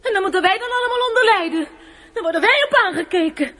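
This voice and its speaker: A scornful female relative with a very high, piercing pitch. She taunts and scolds in dramatic radio-play style. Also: animated, quick pace, bright, forceful tone, full character voice. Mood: scolding.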